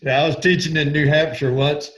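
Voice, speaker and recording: A man's voice: a little high, fairly even intonation, fairly quick, a bit bright, low-quality recording. A man with a Southern accent co-hosting a casual chat, telling folksy anecdotes in a dry way. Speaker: co-host.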